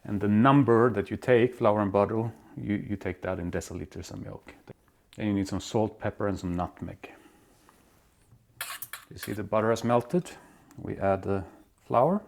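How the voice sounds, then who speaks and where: A man from Belgium hosting his own cooking show, walking through a recipe in a relaxed, step-by-step way in a home kitchen. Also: on the low side, normal speed, vocal fry, long pauses. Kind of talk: casual cooking demonstration.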